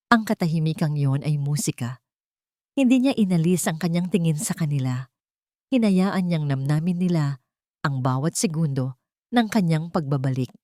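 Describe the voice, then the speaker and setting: Low-pitched Filipino woman narrating a drama. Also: warm tone, no echo, clean studio sound. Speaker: storyteller. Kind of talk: scripted dramatic story narration.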